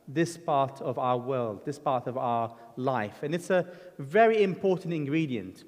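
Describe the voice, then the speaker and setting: A middle-aged man from Lebanon preaching a sermon, telling his own story in an earnest, conversational way with frequent ums and restarts. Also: on the high side. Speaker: guest preacher. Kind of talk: sermon with personal testimony. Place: church hall. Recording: church hall reverb.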